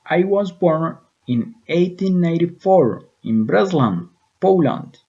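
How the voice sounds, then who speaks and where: A man playing the spirit of a dead old architect in a scripted interview, answering plainly and haltingly. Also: a little high, expressive, dark tone. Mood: calm, reminiscing. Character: spirit of a dead elderly male European architect. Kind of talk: scripted interview role-play in English. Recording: dull lo-fi recording.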